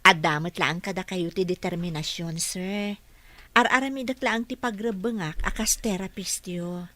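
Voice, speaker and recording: A woman's voice: on the low side, normal speed, a bit bright, natural voice. A woman with an Ilocano accent acting in a radio drama as an encouraging, devoted therapist. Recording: dry studio with background music.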